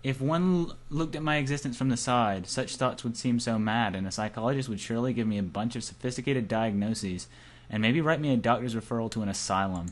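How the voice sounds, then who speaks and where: A man's voice: mid-range voice, fairly quick. A man casually reading a visual novel aloud as an amateur let's-player, with joking interjections. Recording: faint music behind the voice. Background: game music.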